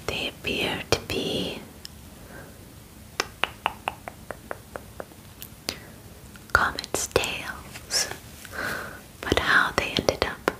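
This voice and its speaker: A gentle female alien examiner, played by a young woman, speaks in a soft whisper close to the mic. She takes her time, with slow, formal, soothing phrasing and a calm clinical manner suited to an ASMR personal attention roleplay. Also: lightly acted, low-quality recording. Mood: calm, focused. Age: young adult. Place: bedroom set, indoors. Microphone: close ASMR microphone.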